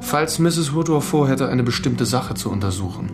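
A man playing a smooth, patronising psychotherapist in a crime radio drama, with a measured, formal and insistent manner. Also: medium pitch, lively intonation, over music, close to an everyday voice. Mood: polite, concerned. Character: smooth, patronising male psychotherapist, defensive and flirtatious. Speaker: supporting actor.

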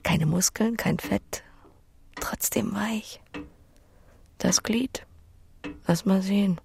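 A woman's voice: medium pitch, slow pace, creaky, darkish tone, a little hiss or hum. An actress in an audio drama playing an earthy, lonely farm woman who speaks in a plain, musing, matter-of-fact way, blunt but tender. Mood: curious, tender. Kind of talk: audio drama acting with inner monologue.

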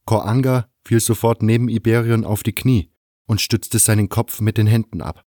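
A man's voice: on the low side, fairly even intonation, moderate pace, mellow, dry recording, clean studio sound. A man reading scripted first-person narration for a fantasy audio drama.

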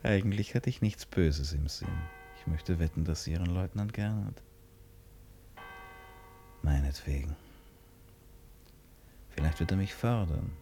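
A man's voice: deep voice, measured pace, long pauses, dark tone, soft background music. An actor in a German radio drama playing a restless, brooding man musing to himself in fragmented questions. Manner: musing, fragmented self-questioning, shifting between murmur and outburst. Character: restless, brooding male stranger lost in his own thoughts.

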